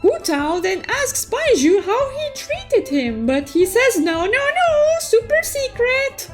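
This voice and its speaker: A woman voicing a cute, excitable young unicorn who recaps a story with playful, theatrical exclamations. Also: very high voice, takes it slowly, soft background music, exaggerated voice. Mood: intrigued. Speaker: storytelling host. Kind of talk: animated story recap narration.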